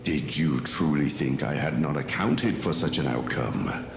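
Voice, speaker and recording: Ancient villain master for audio drama: extremely deep, creaking, contemptuous, ominously slow delivery. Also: male voice, animated, slight background noise, heavily acted. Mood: scornful, calculating. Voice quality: gravelly, creaky. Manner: slow, deliberate, ominous, imperious. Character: menacing ancient reptilian dark-side master, cunning and contemptuous. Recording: echoing voice over music.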